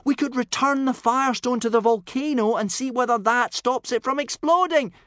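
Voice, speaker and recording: A man's voice: mid-range voice, big pitch swings, fairly quick, slightly rough, no room sound, pitched above the performer's own voice. A man voicing an earnest, anxious young Viking boy hero in a children's audiobook. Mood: pleading. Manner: pleading, earnest.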